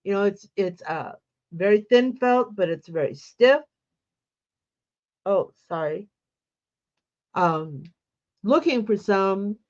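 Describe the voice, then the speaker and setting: A woman's voice: mid-range voice, lively intonation, slow pace, pauses often, a little mellow, muffled recording. A woman hosting a casual embroidery livestream, a little congested from a cold, chatting and greeting viewers by name.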